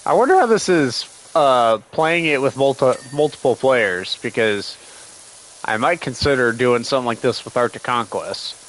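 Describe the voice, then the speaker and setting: Guy streaming a game, sarcastic and grumbly, still has a bit of a cough from a cold, middle-pitched voice. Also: animated, a little slow, pauses often, fairly bright tone, rough recording. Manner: sarcastic, grumbling, talks back to the game's characters. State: getting over a cold, with a lingering cough. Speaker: gaming streamer. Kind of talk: casual livestream game commentary.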